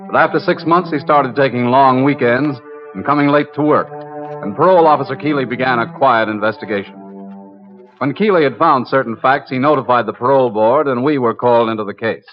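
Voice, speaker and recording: An actor playing a matter-of-fact male investigator in an old radio crime drama, measured and businesslike. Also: medium pitch, music in the background, lightly acted. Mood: factual. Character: composed, businesslike male federal investigator narrating a case. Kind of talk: scripted 1950s radio crime drama narration and dialogue. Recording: dull old radio recording.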